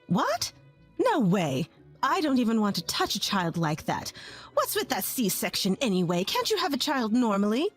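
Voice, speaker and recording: A woman voicing a harsh, scornful older mother-in-law in a narrated story, talking in a shrill, contemptuous way. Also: lively intonation, brisk, a little mellow, soft background music.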